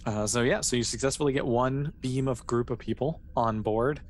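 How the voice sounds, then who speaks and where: Guy game mastering casually, medium pitch, kinda halting storytelling, bit of noise behind him. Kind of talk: casual narration of a tabletop role-playing game. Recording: clear background noise.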